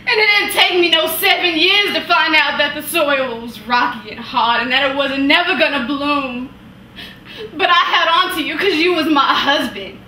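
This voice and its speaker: High-pitched anguished wife, played by a woman. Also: sharp tone. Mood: anguished, crying out. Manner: impassioned, pleading, emphatic with long pauses. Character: anguished wife confronting her husband after years of sacrifice. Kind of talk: dramatic stage monologue at an acting workshop. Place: indoor workshop room, recorded from a distance. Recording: roomy, distant mic, some hiss.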